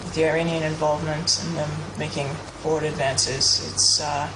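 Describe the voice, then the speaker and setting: A woman's voice: low voice, level tone, moderate pace, noisy background. A young adult woman answering interview questions casually and hesitantly, with many fillers and restarts.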